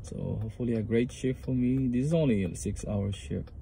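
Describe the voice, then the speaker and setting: A man's voice: medium pitch, brisk, vocal fry, warm tone. A man in his thirties from the Philippines with a Filipino accent, chatting casually and rambling in loose English while vlogging from his car on a phone. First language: Tagalog. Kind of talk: casual vlog chat. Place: inside a car. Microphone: phone camera on the dashboard. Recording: car and road noise.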